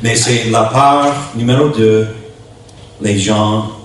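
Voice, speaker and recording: A man from New Brunswick, a native English speaker with an English-speaking Canadian accent, preaches as a visiting pastor in a halting way, in short phrases, searching for words. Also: lowish voice, steady tone. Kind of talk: sermon. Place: church hall during a worship service. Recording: echoey hall, distant mic, faint noise.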